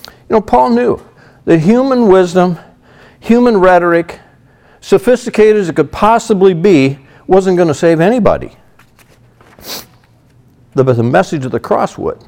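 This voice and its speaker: A man's voice: high-pitched, animated, normal speed, pauses often, a little mellow. A middle-aged man teaching a sermon through a Bible passage in a conversational way, leaning on "you know" as he explains. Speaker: pastor.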